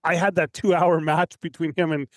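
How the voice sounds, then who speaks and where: A man's voice: fairly high pitch, flat delivery, normal speed, no room sound, clean studio sound. A man from Canada chatting casually about sports as a podcast guest, rambling, repeating himself and checking in with tag questions.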